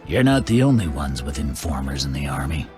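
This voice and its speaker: A proud, stern rebel leader in a fantasy game. His voice is exceptionally deep, his manner grave and blunt. Music is clearly heard behind him. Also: big pitch swings, a little fast, darkish tone. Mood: proud, defiant. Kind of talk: video game voice acting.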